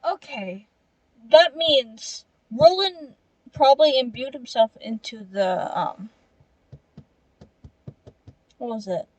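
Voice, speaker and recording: Female game streamer: high-pitched, unhurried, reactive rambler, dry sound. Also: expressive, darkish tone, dry recording, low-quality recording. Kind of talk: casual gameplay commentary.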